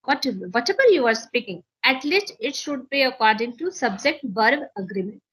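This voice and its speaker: A woman's voice: a little high, animated, moderate pace, fairly bright tone, husky, poor audio. A woman English teacher with an Indian accent and Maithili as her first language, earnestly explaining in an interview and repeating phrases to stress her points. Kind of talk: interview, sharing learning advice.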